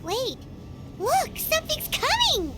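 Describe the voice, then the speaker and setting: Squeaky, excitable little fairy girl. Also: takes it slowly, heavily acted. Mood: alarmed. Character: small excitable childlike floating fairy companion girl. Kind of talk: video game voice acting.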